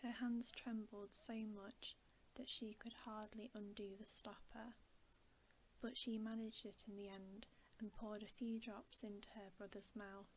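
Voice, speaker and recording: A woman reading a bedtime story in ASMR style, soft, breathy and often whispering, in a hushed, soothing manner. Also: medium pitch, flat delivery, a little slow, slight background noise, poor audio. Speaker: ASMR host reading aloud.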